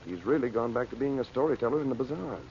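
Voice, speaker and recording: An actor playing a worried but resolute hero in an old radio serial, speaking earnestly and firmly. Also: male voice, mid-range voice, lively intonation, fast talker, darkish tone, natural voice. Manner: earnest, firm. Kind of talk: 1940s radio serial acting. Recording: muffled, noisy old radio recording.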